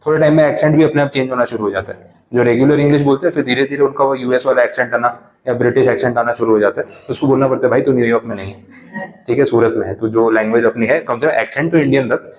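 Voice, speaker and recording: A man lecturing a class in an Indian accent, mixing in English, explaining with everyday asides and reading legal text slowly. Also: medium pitch. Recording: echoey classroom.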